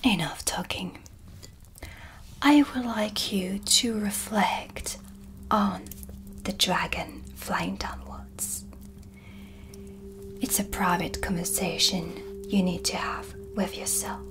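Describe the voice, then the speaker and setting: A woman playing a brooding, blunt dark witch in an ASMR roleplay, speaking in a breathy, deliberate and ominous way with pauses. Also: mid-range voice, fairly even intonation, lightly acted. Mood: solemn, guiding. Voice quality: breathy whisper. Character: dark witch, brooding, blunt, mysterious tea-reading hostess. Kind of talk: soft-spoken ASMR cinematic roleplay. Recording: background music under close whisper. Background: ambient music.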